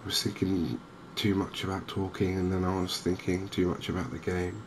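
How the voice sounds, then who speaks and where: A man giving softly spoken ASMR commentary on a game, hushed, hesitant and unhurried, close to the microphone. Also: low-pitched, level tone. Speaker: gaming video host. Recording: clear background noise.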